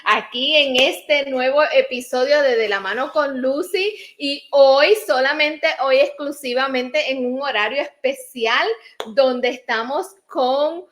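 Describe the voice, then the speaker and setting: A woman's voice: high-pitched, slow pace, fairly bright tone. A woman hosting an online interview show over a video call, greeting viewers and the guest in an effusive, wordy way. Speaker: show host. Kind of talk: warm talk-show welcome.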